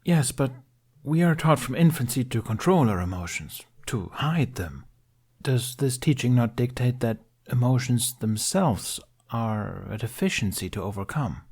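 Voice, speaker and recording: A man's voice: medium pitch, animated, unhurried, darkish tone, breathy edge, pitched above the performer's own voice. A man voicing an earnest, troubled sixteen-year-old male apprentice in an audiobook, polite, deferential and hesitant. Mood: troubled, questioning. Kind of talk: audiobook character voice.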